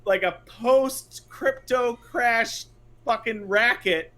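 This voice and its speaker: A man's voice: very high-pitched, slow pace, pushed and bright. A man co-hosting a podcast with wry, mocking, profane commentary on games, acting out imagined quotes. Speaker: podcast co-host.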